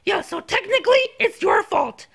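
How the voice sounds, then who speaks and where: Woman doing an extremely high, excitable cartoon guy. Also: normal speed, gravelly, exaggerated voice. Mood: defensive. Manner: exclaiming, defensive.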